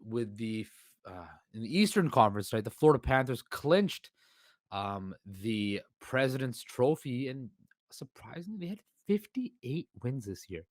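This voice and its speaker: A man hosting a solo sports podcast, with a hoarse voice and a rambling delivery full of fillers and restarts. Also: medium pitch, very animated, measured pace, no echo. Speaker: podcast host.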